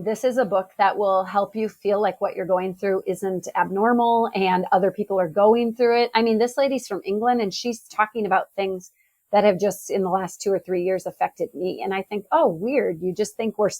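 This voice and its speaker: A woman's voice: medium pitch, a little fast, a little mellow, no room sound. A middle-aged American woman chatting casually about books as a podcast guest. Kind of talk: casual book chat.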